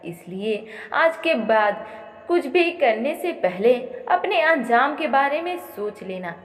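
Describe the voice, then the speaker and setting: A man's voice: very high voice, big pitch swings, slow pace, fairly bright tone, big-room sound, voice pushed up, full character voice. A woman voicing a cold, angry, domineering young businessman in an audio story. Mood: cold anger. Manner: threatening, domineering.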